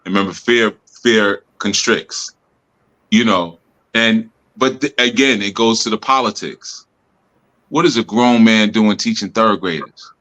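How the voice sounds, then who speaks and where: A man's voice: fairly low pitch, unhurried, fairly bright tone, low-quality recording. A man leading a group talk, teaching loosely with laughs and lots of "you know" and "man". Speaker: spiritual group leader.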